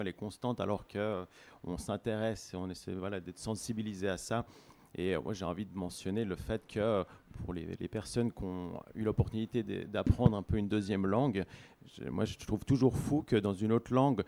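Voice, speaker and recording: Guy with a low gravelly voice, thinking out loud, lots of restarts. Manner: hesitant, earnest, reflective. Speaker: participant in a discussion. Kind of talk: spontaneous discussion, many restarts.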